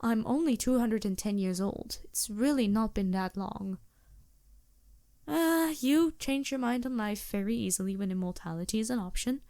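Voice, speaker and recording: A woman voicing a polite, anxious and gentle vampire with formal, earnest phrasing in a fantasy audio roleplay. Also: on the high side, animated, moderate pace. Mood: earnest, musing. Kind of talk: fantasy ASMR roleplay acting.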